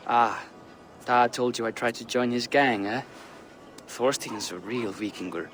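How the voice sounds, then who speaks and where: An actor playing a chatty, rueful young Viking man in a video game, talking in a gossipy, animated way. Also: mid-range voice, unhurried, faint music behind. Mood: chatty, wry. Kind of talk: video game dialogue acting.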